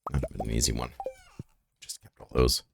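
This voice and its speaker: A hoarse man with a raspy, rough voice, rambling and thinking aloud as he plays through a farming game in casual commentary. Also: a bass voice, fairly even intonation, moderate pace, noisy background. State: hoarse from shouting the night before. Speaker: gaming video host. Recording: game music behind the voice. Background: game music.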